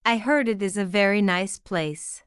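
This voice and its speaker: A woman reading one side of a scripted English lesson dialogue, speaking in careful, clearly separated simple sentences. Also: mid-range voice, normal speed, dry recording, clean studio sound. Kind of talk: slow scripted practice dialogue.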